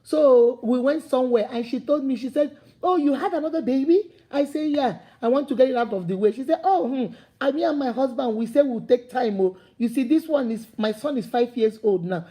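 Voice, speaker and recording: A Nigerian-accented woman delivers a fired-up motivational talk, her high voice husky at the edges but mellow in tone. She preaches in repeating, building phrases that rise to emphatic calls. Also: a little fast. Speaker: motivational speaker. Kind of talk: impassioned motivational talk to camera.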